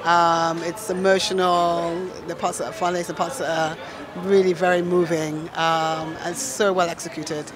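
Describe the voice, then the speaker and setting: A woman's voice: fairly low pitch, little pitch movement, normal speed, a crowd in the background. A middle-aged woman giving an on-camera interview as a theatre guest at an event. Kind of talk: on-camera vox-pop interview. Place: event venue by a backdrop. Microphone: handheld reporter microphone. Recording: clear background noise at busy event.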